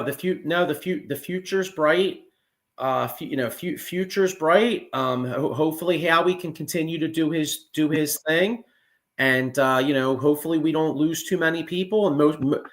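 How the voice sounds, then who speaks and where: A man, hosting a casual sports chat, excitable and profane with lots of restarts. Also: on the high side. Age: 40s. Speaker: podcast host.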